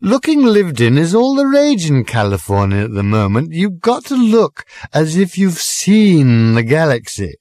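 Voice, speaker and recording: A man voicing a drunken, rambling, affronted storyteller, slurring and halting over his long words. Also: fairly high pitch, huge pitch swings, darkish tone, dry recording, voice pushed up. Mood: insistent, slurred.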